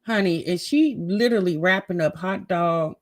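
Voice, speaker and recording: A woman's voice: fairly low pitch, moderate pace. An American woman giving casual, gossipy reaction commentary in a sassy, conversational way. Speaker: host reacting to a family vlog.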